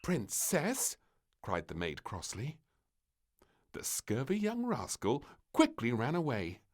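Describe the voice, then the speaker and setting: A man narrating a children's story from a script, with expressive, deliberate phrasing. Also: mid-range voice.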